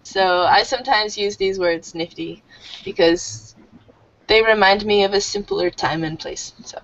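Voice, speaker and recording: A woman teaching an online English class, explaining slowly and warmly with many fillers. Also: mid-range voice, dull audio.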